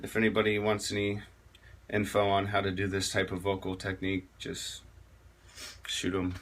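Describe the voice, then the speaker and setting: A man giving a casual spoken tutorial on metal vocal technique, rambling and halting with many fillers and restarts. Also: low-pitched, monotone, fairly bright tone, a little hiss or hum.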